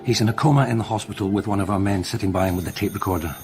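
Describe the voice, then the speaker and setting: An actor playing a blunt, weary provincial police detective in a radio drama. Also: male voice, fairly low pitch, rapid-fire, music in the background, lightly acted. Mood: weary, exasperated. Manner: blunt, exasperated.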